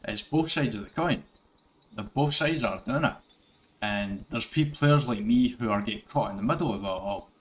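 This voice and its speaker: A man's voice: lowish voice, moderate pace. A middle-aged Scottish man giving rambling casual commentary over gameplay, correcting himself and chuckling as he goes. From Scotland. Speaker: gaming YouTuber.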